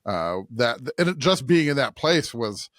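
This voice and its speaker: A man's voice: medium pitch, big pitch swings, a little slow, a bit bright, dry recording, clean studio sound. A man co-hosting a church podcast in casual, conversational talk. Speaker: podcast co-host and pastor. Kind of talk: casual chat.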